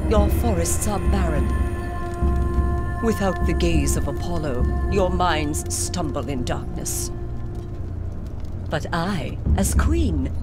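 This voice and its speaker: Regal, vengeful goddess queen for a game: lowish, very slow, grand and solemn. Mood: proud, resolute. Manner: grand, slow, deliberate oratory. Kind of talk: dramatic scripted game dialogue. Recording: loud game music behind.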